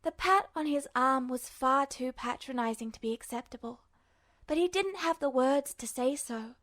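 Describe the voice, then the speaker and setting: A woman reading fiction aloud as a scripted story narrator, measured, with dramatic pauses. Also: high-pitched, fairly bright tone. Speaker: amateur audiobook reader.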